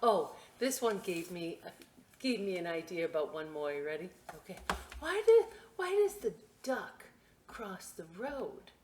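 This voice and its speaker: A woman leads a children's story time at an unhurried pace. Her delivery is playful and very animated, slipping from reading into chatty asides and questions. There is some clear noise behind her voice. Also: on the low side. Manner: playful, expressive, asks and answers her own questions.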